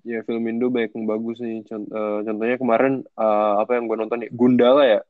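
A man's voice: mid-range voice, little pitch movement, a little fast, dry recording, phone-quality sound. A young man with a Jakarta Indonesian accent co-hosting a podcast in casual, slangy banter, mixing in English words. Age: young adult. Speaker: podcast co-host.

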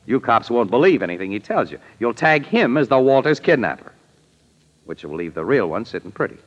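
Slangy, animated newspaper photographer, old radio. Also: male voice, mid-range voice. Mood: assured. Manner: brisk, slangy, casual. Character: wisecracking, eager male crime newspaper photographer. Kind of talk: 1940s radio drama acting. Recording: dull old-time radio recording.

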